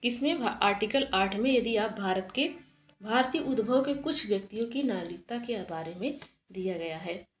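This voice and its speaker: A woman's voice: a little high, roomy sound. A woman teacher giving an exam-prep lesson, explaining steadily and asking and answering her own questions.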